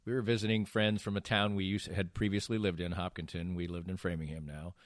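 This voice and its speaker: A middle-aged or older man hosting a podcast, trading playful banter and telling stories in a casual, halting way. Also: sits low, little pitch movement, no room sound, studio quality. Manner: joking, playful, halting delivery. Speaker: podcast host.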